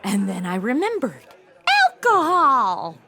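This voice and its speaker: A woman's voice: fairly high pitch, wildly swooping pitch, takes it slowly, loud music behind the voice, exaggerated voice. An actress playing a ditzy, flippant modern young woman, slangy and gushing, in a comedy audio drama. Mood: exasperated, flippant.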